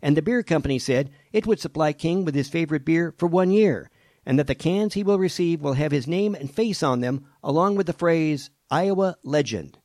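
A man presenting a weekly news summary on a radio show, reading it in a steady announcer manner into a studio microphone. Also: on the high side, moderate pace, dry recording.

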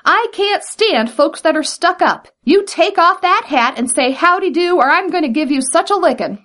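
A woman voicing a hot-tempered, boastful male rabbit who shouts and pleads in a folksy way. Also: high voice, sing-song, unhurried, voice pushed up, exaggerated voice. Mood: furious.

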